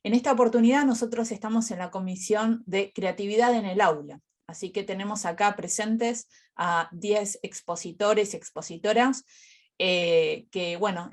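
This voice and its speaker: A woman moderating an online academic conference, introducing speakers in a halting, hesitant way. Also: mid-range voice. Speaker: session coordinator and moderator.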